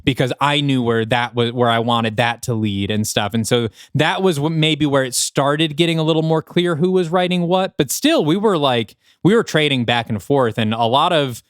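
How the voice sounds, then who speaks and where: A man's voice: medium pitch, normal speed, hardly any pauses, smooth, no room sound, clean studio sound. A man co-hosting a podcast, chatting casually about writing and rambling as he thinks aloud. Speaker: podcast co-host and writer. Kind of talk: casual behind-the-scenes podcast chat.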